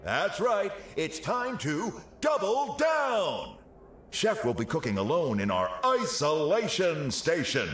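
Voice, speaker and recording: A man voicing a showy, excitable TV cooking show host in a cartoonish video game, announcing with theatrical drama. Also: a little high, full character voice. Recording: roomy echo with background noise.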